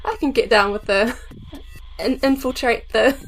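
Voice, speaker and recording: A woman with a slightly high voice plays a tabletop role-playing game. She answers casually in character, with faint music behind her. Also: measured pace, rough recording.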